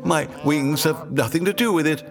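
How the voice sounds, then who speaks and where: A man voicing a naive, excitable young dodo bird in a children's storybook, plaintive and given to bewildered exclamations. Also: on the high side, normal speed, over music, voice pushed up, lightly acted.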